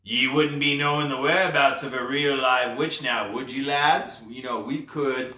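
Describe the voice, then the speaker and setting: A man voicing the sly, blustering ghost of a burly pirate captain in a storybook, with archaic pirate dialect and deliberate phrasing. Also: medium pitch, fairly even intonation, pushed and bright, close to an everyday voice. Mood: suspicious, probing. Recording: roomy, echoey, distant mic.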